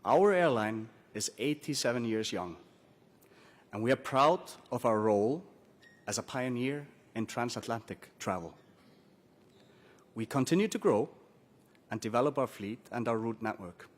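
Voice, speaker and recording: A man's voice: mid-range voice, animated, unhurried, pauses often. Man delivering a prepared corporate announcement. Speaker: airline chief commercial officer.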